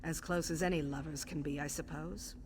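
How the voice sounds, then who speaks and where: A woman plays a cold matron of fantasy game assassins. Her voice sits low, and she speaks in clipped, commanding phrases. Faint noise runs beneath. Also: fairly even intonation, fairly quick, a little mellow, lightly acted. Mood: cold, grave. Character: cold, stern female matron of an assassin guild.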